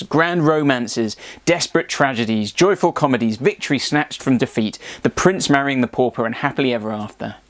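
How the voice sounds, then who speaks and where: A man's voice: medium pitch, dry recording. A man presenting a scripted explanatory narration.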